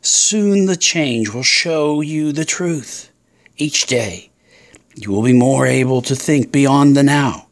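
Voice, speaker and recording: A performer plays a seductive snake from an audio drama, grand and taunting. The creature draws out its promises slowly and persuasively, tempting with visions of godlike reason. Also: mid-range voice, voice pushed up.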